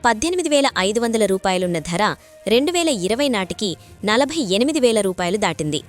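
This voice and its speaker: A woman's voice: fairly high pitch, big pitch swings, fast talker, faint music behind. A woman narrating a scripted news report.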